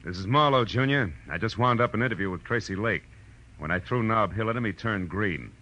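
An actor playing a brash, wisecracking private eye with clipped, sardonic delivery, narrating and acting in 1940s radio noir. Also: male voice, on the low side, brisk, sharp tone, lo-fi sound. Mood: dry, wisecracking. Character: brash, wisecracking male hard-boiled private detective. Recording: faintly noisy old radio recording.

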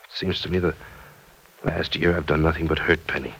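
An actor playing an earnest, guilt-ridden young man in an old-time radio drama, speaking haltingly and blaming himself. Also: a bass voice, flat delivery, fairly bright tone, husky, exaggerated voice, low-quality recording. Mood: remorseful. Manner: earnest, halting, self-reproaching. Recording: vintage radio recording.

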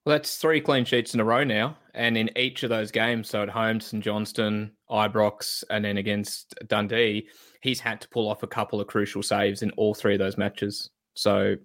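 A man's voice: fairly low pitch, level tone, moderate pace, a bit bright, no echo, studio quality. A man with an Australian accent co-hosting a football podcast, self-deprecating and tripping over his words. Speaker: podcast co-host.

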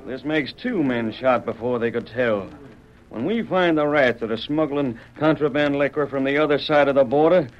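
A man's voice: medium pitch, normal speed, natural voice. Man acting a tough lo-fi western lawman. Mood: angry, commanding. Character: tough, commanding Border Patrol lawman in the Old West. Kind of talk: 1940s radio western acting. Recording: dull, lo-fi old radio recording.

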